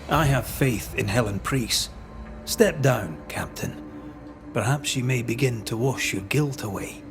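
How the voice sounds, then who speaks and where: An actor playing a resolute male ghost hunter in a video game, accusing in a stern, measured, solemn way. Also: mid-range voice, lively intonation, music in the background. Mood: solemn verdict. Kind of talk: dramatic video game acting.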